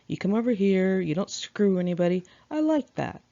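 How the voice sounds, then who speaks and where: A woman voicing a flamboyant young male rocker friend in a memoir, with a drawn-out, coaxing delivery. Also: mid-range voice, sing-song, warm tone, muffled recording. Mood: coaxing, teasing.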